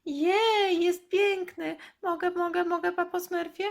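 A woman's voice: very high-pitched, steady tone, slow pace, creaky, pitched above the performer's own voice, exaggerated voice. A woman voicing an eager, childlike little girl creature in a toy-play story for children. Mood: delighted. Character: excitable little girl Smurf, eager and apologetic.

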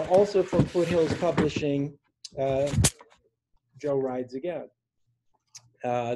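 Man in his sixties, poet reading aloud, unhurried and deliberate, mellow tone. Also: medium pitch, a little hiss or hum, poor audio. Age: 60s. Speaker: poet reading own work. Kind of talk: poetry reading at a virtual open mic, with informal introductions. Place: small room indoors at home.

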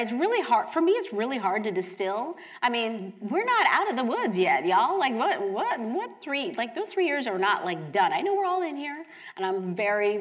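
Stuffy-nosed chatty woman panelist. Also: fairly high pitch. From Chicago. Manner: chatty, informal, many fillers. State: stuffy from a cold. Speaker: county arts agency director, panelist. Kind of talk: casual panel talk. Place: large theater stage.

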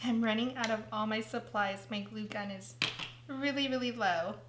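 A woman's voice: on the high side, normal speed, reverberant, background noise. A woman hosting a casual craft tutorial, thinking aloud as she works, and losing her voice. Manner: chatty, thinking aloud while working.

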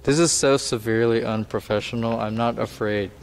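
A man's voice: mid-range voice, steady tone, a little fast. Laid-back young man, noisy camera audio. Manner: laid-back, self-mocking, chuckling. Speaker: vlogger filming an urban exploration. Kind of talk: casual walking commentary. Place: outdoors and inside an abandoned factory. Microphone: handheld camera mic. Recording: camera mic with outdoor noise.

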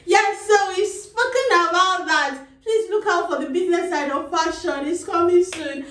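A woman's voice: extremely high pitch, moderate pace. A woman in her thirties with a Nigerian accent, giving a chatty sewing tutorial and often tagging her sentences with "okay?". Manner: lively, animated, tags phrases with "okay?". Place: small indoor sewing studio. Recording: roomy, slightly distant.

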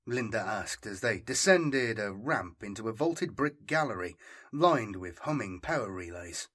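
A man's voice: fairly low pitch, lively intonation, unhurried, a bit bright. A man reading scripted dramatic fiction as an audiobook narrator.

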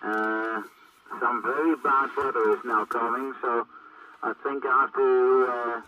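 A man's voice: medium pitch, fairly even intonation, measured pace. A man chatting hesitantly as a CB radio operator, over a radio transmission. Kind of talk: casual two-way radio chat. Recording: noisy, muffled, distant CB radio signal.

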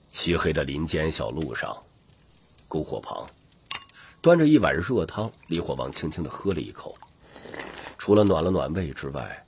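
A man's voice: a bass voice, a little mellow, slightly rough. A man reading dramatic scripted fantasy narration as an audiobook narrator.

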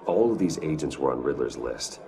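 A man acting a brooding billionaire vigilante in a video game, terse, controlled and intense, with a deep gravelly voice. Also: expressive, a little fast, mellow, heavily acted. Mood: watchful, urgent. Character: brooding adult male billionaire vigilante, guarded and commanding.

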